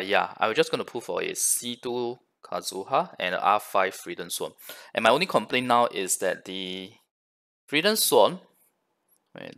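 A man's voice: on the low side, creaky, fairly bright tone. A man hosting a gaming reaction video, giving casual, analytical commentary in a conversational way.

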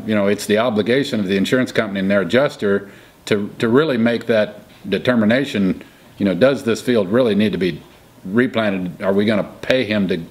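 Folksy Missouri farmer, low voice. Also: male voice. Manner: thinking aloud, folksy. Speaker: farmer and crop insurance agent. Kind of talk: casual interview answers. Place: indoor room with curtains.

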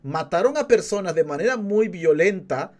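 A man's voice: high voice, expressive, moderate pace, a little mellow. A man streaming casual live commentary as he reacts to a video.

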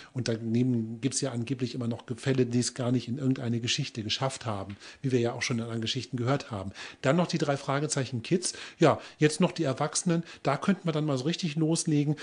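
Man, medium pitch, review show co-host, conversational discussion. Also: darkish tone. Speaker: podcast co-host and audio drama reviewer.

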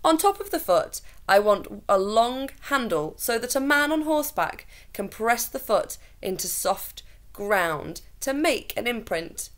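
A woman voices a haughty lord, the villain of a children's storybook. He is smooth and sinister, handing down orders with cold superiority. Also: fairly high pitch, very animated, a little slow, pitched above the performer's own voice. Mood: commanding, menacing.

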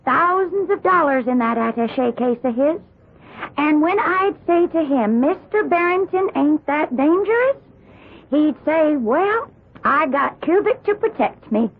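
A woman's voice: high voice, expressive, unhurried. A woman playing a plain-spoken, opinionated housekeeper in a 1970s radio drama, folksy, emphatic and gossipy. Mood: indignant, emphatic. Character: opinionated, plain-spoken housekeeper testifying, loyal to her employer. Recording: muffled 1970s radio broadcast.

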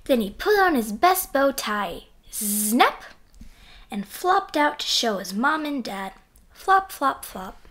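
Lady reading a kids book out loud, super lively and unhurried. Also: sits high. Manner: animated, acting out sound effects. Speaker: reader of children's books. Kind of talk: expressive storybook read-aloud.

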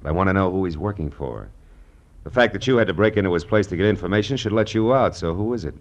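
An actor playing a terse, sardonic American private detective in an old radio crime drama. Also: male voice, low voice, fast talker, muffled recording. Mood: pressing, sardonic. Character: hard-boiled, wisecracking private detective.

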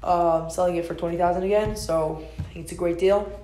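A man's voice: high voice, steady tone, a little fast, mellow, close to an everyday voice. A young man playing a rambling land seller, echoey room. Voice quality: hesitant, full of ums and uhs. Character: easygoing adult male landowner selling property. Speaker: actor in a school play. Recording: echoey room with music behind.

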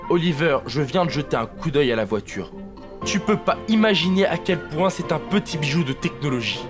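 Man playing an excitable, animated tech geek. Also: a little high, fairly quick, music in the background, close to an everyday voice. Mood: excited. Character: excitable, teasing male tech geek sidekick. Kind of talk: audio drama acting.

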